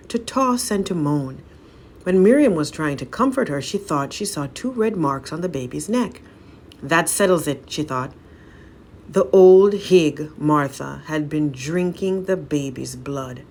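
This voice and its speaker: A woman's voice: low-pitched, a little mellow. A woman storyteller reading a folk tale aloud in a dramatic narrating style.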